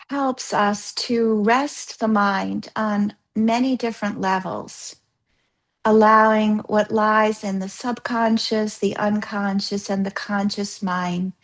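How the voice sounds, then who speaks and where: A woman leading a guided yoga nidra practice as a yoga therapist, gently instructing in a soothing, unhurried way with pauses. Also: mid-range voice.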